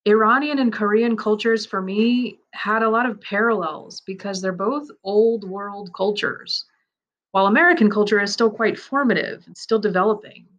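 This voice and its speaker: A woman reads a personal account aloud at a middle pitch, steady and measured. Her delivery is reflective and earnest, keeping an even course through the first-person telling. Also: highs cut off. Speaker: narrator reading a man's first-person story.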